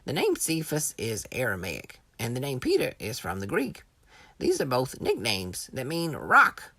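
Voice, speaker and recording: A woman with a very low, raspy voice gives scripted educational narration for children. Her intonation swings widely as she teaches in a storytelling manner, recorded dry. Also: wildly swooping pitch, a little slow, sharp tone, dry recording.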